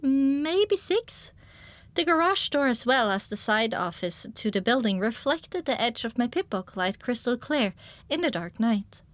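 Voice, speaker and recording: Higher-pitched woman narrating an audiobook, dry sound. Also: no room sound. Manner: descriptive storytelling. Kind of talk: first-person scripted story narration.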